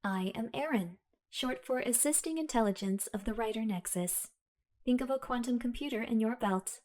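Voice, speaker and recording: A woman voicing a calm, all-knowing female artificial intelligence that lives in a hero's belt, speaking in a measured, formal way. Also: a little high, natural voice. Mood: solemn. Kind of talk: fan audio drama. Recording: music bed underneath.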